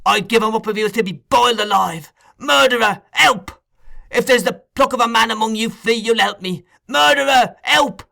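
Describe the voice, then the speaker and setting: A man's voice: extremely high pitch, normal speed, gravelly, sharp tone, pitched above the performer's own voice, heavily acted. A man reading aloud, voicing a terrified boy who screams for help in a frantic rage.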